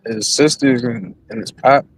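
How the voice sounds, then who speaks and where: A man's voice: mid-range voice, a little slow, slightly rough, poor audio. A man chatting casually as a livestream panelist, in loose slang. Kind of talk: casual chat.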